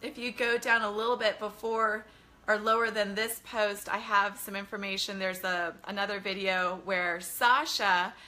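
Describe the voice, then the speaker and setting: A woman with a middling pitch and a clearly bright tone hosts an informal livestream. She talks as a children's author to her online followers, enthusiastic and effusive, in a loose conversational style. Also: fairly even intonation, normal speed. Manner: gushing, enthusiastic, greets viewers by name. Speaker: children's author hosting a live bedtime reading. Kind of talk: casual livestream chat with viewers.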